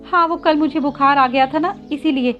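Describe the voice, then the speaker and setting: A woman's voice: sits high, moderate pace, muffled recording, faint music behind, pitched above the performer's own voice, close to an everyday voice. A woman voicing a meek, polite young female village sparrow in a children's cartoon story. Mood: polite, subdued. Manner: polite, hesitant, pleading.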